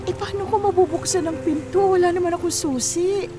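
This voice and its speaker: A woman's voice: very high-pitched, steady tone, fairly quick. A woman playing a loving, weary middle-aged mother in a Filipino radio drama, with a tender, coaxing, sighing manner. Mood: affectionate.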